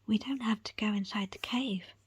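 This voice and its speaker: A woman's voice: mid-range voice, moderate pace, husky, no room sound. Woman whispering as a patient, coaxing foster mother. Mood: placating. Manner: gentle, hesitant, coaxing. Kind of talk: whispered audiobook character acting.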